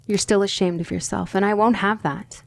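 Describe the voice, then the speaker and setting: A woman playing a gentle but firm demon tutor in an ASMR roleplay, speaking in a soothing, measured and formal way. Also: medium pitch, fairly even intonation, creaky, close to an everyday voice. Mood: firm, stern.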